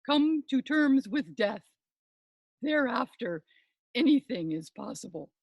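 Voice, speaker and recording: An older woman with a high, widely swooping voice plays a pompous professor. She delivers a scripted comic monologue in florid, deliberate academic phrasing. The sound is dry and close, with little room to it. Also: very animated, no room sound, muffled recording. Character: pompous older female professor hosting a podcast. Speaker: monologue performer, podcast host.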